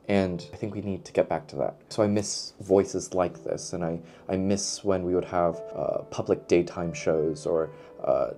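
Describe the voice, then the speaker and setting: A man with a lowish, very mellow voice chats casually to camera like a book vlogger. He is playful and emphatic, repeating phrases to drive them home. There is clear background noise behind him.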